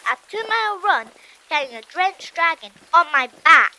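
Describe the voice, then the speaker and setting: Woman playing a gloomy, high-voiced Viking boy. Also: big pitch swings, normal speed, sharp tone, heavily acted, rough recording. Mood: bitter complaint. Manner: bitter, weary, miserable complaining. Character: gloomy, complaining, sarcastic Viking boy sidekick. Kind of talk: audiobook drama character acting.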